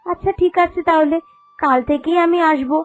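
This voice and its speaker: Woman doing a humble honest village girl in a cartoon, super high voice, earnest and polite, music behind. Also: fairly even intonation, brisk, lightly acted. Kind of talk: Bengali cartoon fairy-tale voice acting. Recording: music under the voice.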